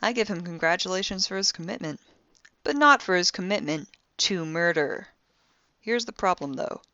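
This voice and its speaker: A woman's voice: on the low side, expressive, normal speed, bright, forceful tone, dry recording, muffled recording. A woman playing a wry, impulsive young amateur detective, recording a spoken log in an audio drama with sardonic, theatrically dry conversational delivery. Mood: smug, conspiratorial.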